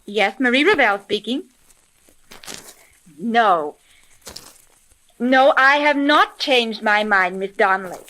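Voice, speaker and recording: An actress playing a brisk, commanding young female private detective in a crisp, authoritative 1930s radio drama style. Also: a little high, expressive, pushed and bright, full character voice, poor audio. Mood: businesslike, firm. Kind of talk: 1930s old-time radio drama acting.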